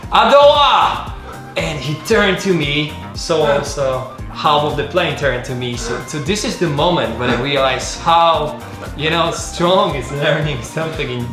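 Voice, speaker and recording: A man's voice: fairly high pitch, expressive, a little slow, over music. Earnest male host, echoey room. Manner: earnest, non-native English with small grammar slips. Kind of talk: casual conversational interview.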